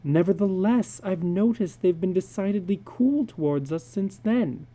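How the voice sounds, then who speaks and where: A man voicing an anxious, soft and worried wife and mother in a dramatic story reading. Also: sits high, moderate pace, voice pushed up, natural voice. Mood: uneasy.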